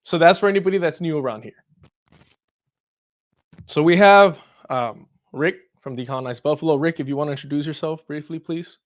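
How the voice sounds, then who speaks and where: Higher-voiced man hosting irreverent political talk. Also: fairly quick. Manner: irreverent, sweary, chuckling. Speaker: host. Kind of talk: casual political commentary.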